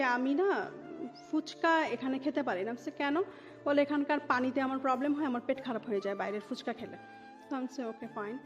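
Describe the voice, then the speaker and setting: A Bangladeshi woman in her thirties speaks in a fairly high voice, recounting personal experiences in conversation. She mixes in English words. The recording is a bit dull, with music playing behind her. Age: 30s. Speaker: interview guest. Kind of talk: personal life storytelling in conversation.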